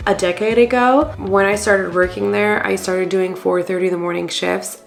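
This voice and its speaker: A woman's voice: lowish voice, gravelly. A woman in her thirties, slightly congested after a cold, chatting in a friendly, encouraging way to her vlog viewers. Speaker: vlogger. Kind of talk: casual lifestyle vlog talk.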